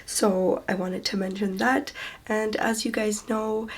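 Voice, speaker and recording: A woman beauty vlogger chatting casually to her viewers while recovering from the flu, her voice hoarse, congested and quieter than usual, with low energy. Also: fairly even intonation, unhurried, slight background noise. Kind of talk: casual sponsored vlog chat.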